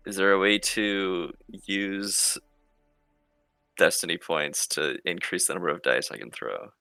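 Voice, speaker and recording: A man with a very low voice talks casually as a player in a tabletop roleplaying game. He is hesitant and thinks aloud, with plenty of gravelly fry. The sound is close and dry, with faint music behind him. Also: measured pace, pushed and bright, no room sound.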